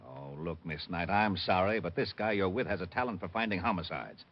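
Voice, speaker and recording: An American man playing an exasperated, gruff, suspicious homicide lieutenant in a 1940s radio drama. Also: deep voice, a little fast, a little hiss or hum. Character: exasperated, grumpy male police homicide lieutenant. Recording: dull old-time radio recording.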